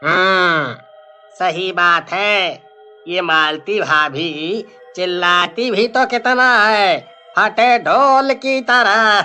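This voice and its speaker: A mocking young villager, acted by a man, gossipy and very drawn out. The voice is high and crisp, storybook style with light music behind. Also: bright, forceful tone, full character voice.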